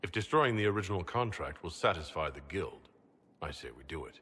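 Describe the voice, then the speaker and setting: Very deep-voiced, decisive fantasy leader. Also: male voice, animated, brisk, fairly bright tone, husky, exaggerated voice. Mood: blunt, serious. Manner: terse, direct. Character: commanding male fantasy leader, blunt and decisive. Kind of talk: scripted video game cutscene acting. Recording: long hall reverb, background ambience noise.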